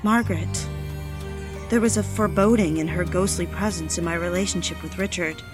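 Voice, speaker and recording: A woman's voice: fairly low pitch, steady tone, a little fast, music in the background, lightly acted. A woman playing a lonely, obsessive diarist in an audio drama, with a confessional, literary way of speaking. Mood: lonely, brooding. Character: lonely, bored, obsessive woman confiding to her diary. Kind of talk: dramatic first-person diary narration.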